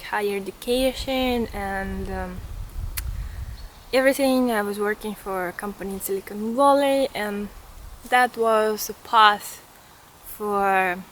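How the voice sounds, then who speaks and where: A woman's voice: mid-range voice, a little hiss or hum, poor audio. A woman in her thirties with a Ukrainian accent, talking in an earnest, reflective way to camera as a vlogger. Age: 30s. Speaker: vlogger and artist. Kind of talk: casual reflective vlog talk to camera. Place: outdoors in a forest.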